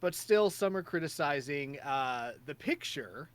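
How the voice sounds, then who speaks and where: A man's voice: fairly high pitch, lively intonation, unhurried, smooth. A young man hosting a casual comedy podcast, reading out weird news stories with a jokey tone and laughing mid-sentence. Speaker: podcast host.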